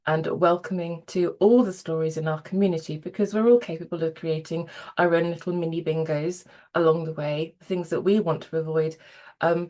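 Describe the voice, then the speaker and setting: Slightly croaky woman co-hosting a podcast. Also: deep voice, dark tone. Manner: friendly and rambling, with fillers and laughter. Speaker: podcast co-host.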